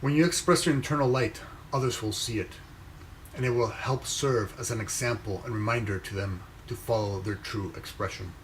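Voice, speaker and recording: A young man raised in Venezuela, reading a motivational post aloud and commenting on it in a slow, measured way with pauses between phrases. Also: lowish voice, a little hiss or hum, poor audio. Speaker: inspirational speaker reading his own post.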